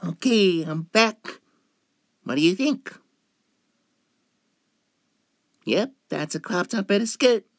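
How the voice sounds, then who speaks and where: Slow-paced man voicing a cute young femboy, shy and playful, creaky edges, ASMR roleplay. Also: high voice, animated, dry recording, studio quality. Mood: excited, bashful. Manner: hesitant, coy, with drawn-out words.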